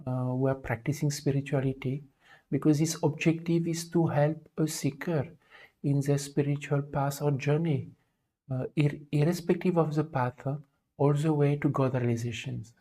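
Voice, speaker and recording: French-accented man, calm low-key delivery. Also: normal speed. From France. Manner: hesitant, with many fillers. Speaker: livestream host. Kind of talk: livestream talk.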